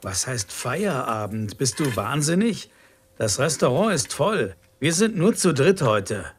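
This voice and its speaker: A man's voice: medium pitch, very animated, measured pace, a little hiss or hum. A man playing a stressed, exasperated restaurant cook in a scripted language-lesson dialogue.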